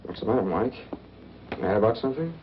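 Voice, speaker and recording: An actor playing a smooth, calculating fugitive who feigns innocence, in 1940s film noir style. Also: male voice, fairly low pitch, lively intonation, fast, very noisy, close to an everyday voice. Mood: coaxing, menacing. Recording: dull old film soundtrack with music.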